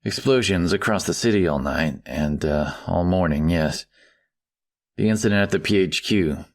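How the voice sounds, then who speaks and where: A worried middle-aged dad played by a male audiobook actor with a low voice full of creak. He is polite and hesitant, feeling his way through each halting phrase. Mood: concerned. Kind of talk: audiobook dialogue acting.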